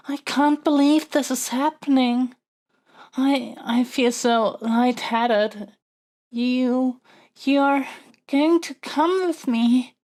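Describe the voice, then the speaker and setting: A high, sweet-hearted young princess, acted by a woman in a fantasy audio romance. She speaks very slowly and haltingly, stammering with earnest, flustered adoration. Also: darkish tone. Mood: overjoyed, flustered. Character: lovestruck young princess smitten with her dragon kidnapper.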